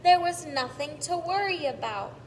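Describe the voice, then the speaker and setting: Woman acting storybook mum, reassuring, emphatic, sing-song, fairly high voice, distant noisy recording. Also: expressive, moderate pace. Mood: upbeat. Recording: echoey, distant mic, clearly noisy background.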